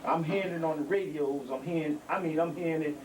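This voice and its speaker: A man's voice: fairly high pitch, fast. Preaching man, distant and noisy. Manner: preaching, repetitive emphasis, street vernacular. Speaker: elder speaker at a community gathering. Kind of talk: informal address to young members. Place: indoor building. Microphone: handheld microphone. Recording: distant mic with background noise.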